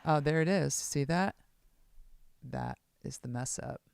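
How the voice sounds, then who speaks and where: A woman with a low voice, rambling excitably on a casual live stream as she plays bass and fiddles with her gear. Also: a little slow, gravelly, clear, resonant tone. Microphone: streaming setup mic.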